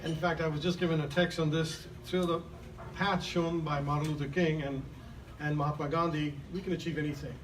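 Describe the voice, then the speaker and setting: Hesitant male moderator, higher voice. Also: little pitch movement. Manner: hesitant, halting, many restarts. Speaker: event host and moderator. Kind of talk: moderating a press Q&A. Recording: distant mic with background noise.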